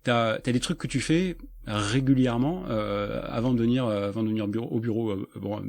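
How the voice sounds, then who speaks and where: A man's voice: mid-range voice, brisk, vocal fry, darkish tone, no room sound. A man hosting a podcast, asking questions in a hesitant, searching way. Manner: hesitant, many fillers and restarts. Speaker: podcast host. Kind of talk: conversational interview.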